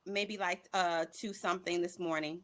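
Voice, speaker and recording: A woman's voice: fairly low pitch, steady tone, normal speed, a bit bright, no echo. A woman co-hosting a morning talk show, answering questions in a playful, sing-song way. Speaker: show co-host. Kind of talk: casual banter.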